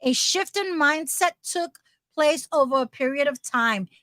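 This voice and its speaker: A woman's voice: high voice, animated, bright, forceful tone, no echo, studio quality. A woman with a New Jersey accent, co-hosting a casual livestream chat over a video call, talkative and emotional. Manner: talkative, emotional, quick restarts. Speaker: co-host of an RV living livestream.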